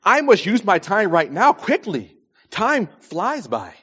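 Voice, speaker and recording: A man's voice: high-pitched, huge pitch swings, takes it slowly, fairly bright tone, slightly rough. A man in his early forties preaching a sermon, speaking emphatically and repeating phrases to stress them. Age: early 40s. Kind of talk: sermon with scripture readings and plain advice. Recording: dull lo-fi recording.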